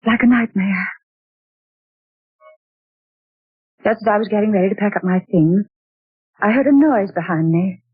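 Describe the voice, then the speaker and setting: A woman playing a breathy, genteel lady in distress. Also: medium pitch, brisk, natural voice. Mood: storytelling. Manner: dramatic, exclaiming. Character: genteel woman recounting a ghostly night. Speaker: radio drama heroine. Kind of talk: 1940s radio acting. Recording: tinny old radio broadcast.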